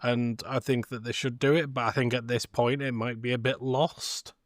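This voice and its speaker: A man's voice: mid-range voice, little pitch movement, moderate pace, dry recording, studio quality. A man co-hosting a film podcast, chatting in an understated, informal way. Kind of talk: casual film chat.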